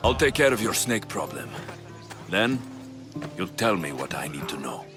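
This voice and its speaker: An actor playing a blunt, dutiful Greek mercenary in a video game, speaking tersely with a Greek accent. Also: male voice, deep voice, very animated, moderate pace. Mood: firm, curt. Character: gruff, blunt Greek mercenary man, impatient but dutiful. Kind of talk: video game cutscene acting. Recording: loud music behind.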